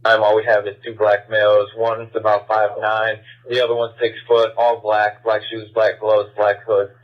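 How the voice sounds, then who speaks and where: Male cop, monotone terse reporting, muffled radio scanner transmission. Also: fairly low pitch, normal speed. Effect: police radio.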